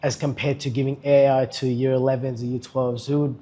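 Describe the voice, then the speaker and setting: A man's voice: medium pitch, level tone, mellow. A young Australian man answering interview questions with enthusiasm, stumbling now and then. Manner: enthusiastic, slightly halting. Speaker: student at an education camp.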